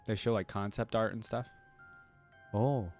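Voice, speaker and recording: A man with a fairly low voice hosts a casual reaction. He thinks out loud in informal questions and exclamations. Faint music plays behind his commentary. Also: steady tone, unhurried. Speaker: reaction video host. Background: instrumental theme music with piano and choir.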